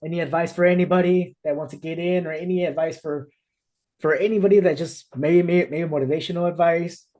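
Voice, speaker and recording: A man's voice: on the high side, fairly even intonation, a little fast, warm tone. A man hosting a podcast over a video call, talking in a casual, upbeat way and often laughing or repeating himself. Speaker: podcast host. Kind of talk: podcast interview. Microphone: remote video call.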